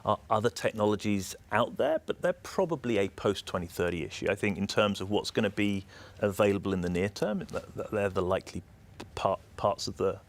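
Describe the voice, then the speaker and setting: A man with a British accent giving measured, explanatory answers as an executive being interviewed. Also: on the low side. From United Kingdom. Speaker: energy system operator executive, interview guest.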